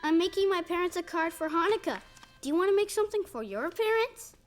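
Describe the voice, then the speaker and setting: Friendly small cartoon boy, extremely high-pitched, eager and casual. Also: sing-song, fast, faint music behind. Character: friendly, busy little boy, Caillou's friend. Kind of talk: children's cartoon voice acting.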